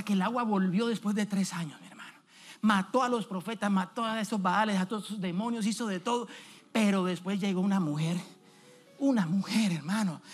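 Animated man preaching a sermon. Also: high voice. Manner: animated, calls out questions to the congregation, pacing the stage. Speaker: evangelist preacher. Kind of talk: energetic sermon. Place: church stage, indoors.

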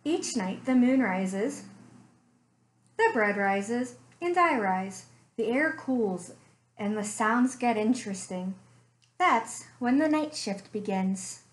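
A young woman reading a picture book aloud for children, slow and expressive with playful sound effects, recorded on a webcam in a small room at home. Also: on the high side. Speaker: children's librarian. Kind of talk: storytime read-aloud.

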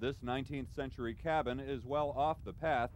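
A man's voice: mid-range voice, steady tone, fairly quick, muffled recording, background noise. A man narrating a scripted television news feature as a reporter.

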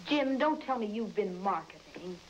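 Animated housewife, played by an actress, muffled recording. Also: female voice, medium pitch, normal speed, natural voice. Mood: exasperated, teasing. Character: exasperated, teasing housewife in appliance commercial. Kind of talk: vintage commercial dialogue acting. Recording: muffled, noisy old broadcast recording.

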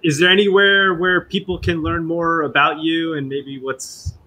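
A man in his forties hosting a podcast interview over a video call, asking friendly, halting questions with fillers. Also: a little high, flat delivery, pushed and bright, a little hiss or hum. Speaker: podcast host.

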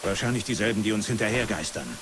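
A man playing a gruff, level-headed special forces soldier with a blunt, dry manner in a German-dubbed video game. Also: lowish voice, fast, background noise, natural voice. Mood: dry, wary. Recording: loud music under the voice.

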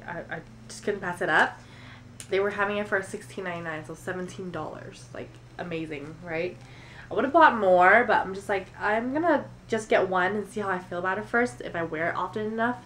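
A woman's voice: on the low side, a bit bright, slight background noise, poor audio. A woman in her twenties with an American accent, slightly congested from a cold, chatting casually to camera about her shopping. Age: 20s. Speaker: beauty and shopping vlogger. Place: indoor room at home.

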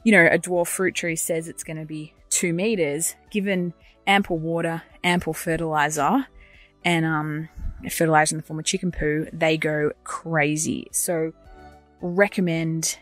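A woman's voice: sits low, level tone, a little slow, a bit bright, soft background music. A woman giving a casual, chatty walk-and-talk garden tour outdoors, offering practical advice as she goes. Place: outdoors in a large netted garden run.

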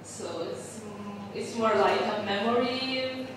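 A young woman from Italy with an Italian accent, haltingly asking an informal question from the audience as she searches for words. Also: fairly high pitch, crowd noise. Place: lecture room audience. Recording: very echoey room, distant, noisy.

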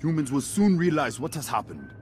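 A man's voice: on the high side, expressive, brisk, darkish tone, close to an everyday voice. A man playing a fierce, proud alien warrior in a video game, speaking in solemn, formal phrases. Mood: grudging, solemn. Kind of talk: dramatic video game acting. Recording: music behind.

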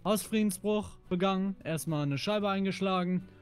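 A man's voice: high voice, moderate pace, clean tone. A man bantering casually as he plays a game, cheeky and slangy, often throwing in short interjections. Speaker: gamer, co-player. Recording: music under the voice. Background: music.

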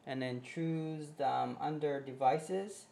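A man's voice: medium pitch, slow, creaky, mellow. A man in his forties hosting a casual how-to tutorial at his home desk, rambling through fillers and restarts. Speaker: tutorial host. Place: home office desk, indoors. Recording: echoey room, faint background hiss.

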